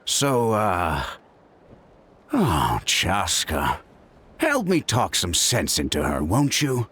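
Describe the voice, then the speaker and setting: An actor playing a worried, gentle middle-aged father in a video game, speaking hesitantly and earnestly. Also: low voice, wildly swooping pitch, slow, slight background noise, full character voice. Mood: sheepish.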